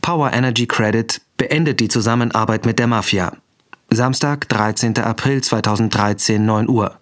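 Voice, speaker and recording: A man's voice: lowish voice, normal speed. A man announcing scripted documentary-like headings as a radio announcer.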